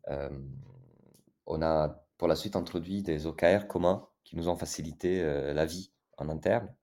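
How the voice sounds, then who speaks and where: A man from Italy speaks French with an Italian accent, his voice very deep and dark in tone. He talks as a podcast guest, pausing often on fillers and restarting his sentences. He sounds like a man in his thirties. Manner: hesitant, many fillers and restarts. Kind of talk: casual interview talk.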